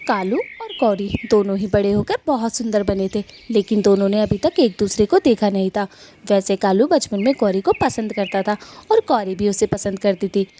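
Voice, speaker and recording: A woman's voice: mid-range voice, dark tone, a little hiss or hum. A woman telling a scripted cartoon story as its narrator.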